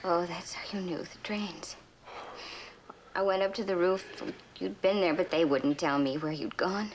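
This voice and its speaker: A woman's voice: on the low side, unhurried, natural voice. An actress playing a worried, earnest woman who pleads urgently in a mid-century television suspense drama. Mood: worried, pleading. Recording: noisy old television drama soundtrack.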